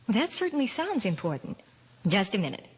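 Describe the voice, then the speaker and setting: Husky, sympathetic secretary. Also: mid-range voice, big pitch swings, a little fast. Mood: helpful, gentle. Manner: gentle, persuasive, courteous. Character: sympathetic, coaxing adult female office secretary. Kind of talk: old-time radio drama acting. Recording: reverberant old radio recording.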